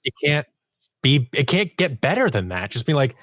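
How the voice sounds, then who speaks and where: A man's voice: medium pitch, sing-song, moderate pace, a bit bright, no echo. A man who is an audio drama writer and actor, chatting casually as an interview guest and acting out little asides as he talks.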